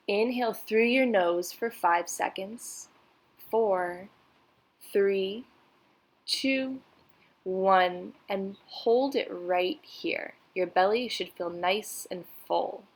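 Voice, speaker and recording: A woman guiding a meditation in a soothing, unhurried, instructional way. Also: medium pitch, low-quality recording. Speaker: meditation guide. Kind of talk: guided meditation narration.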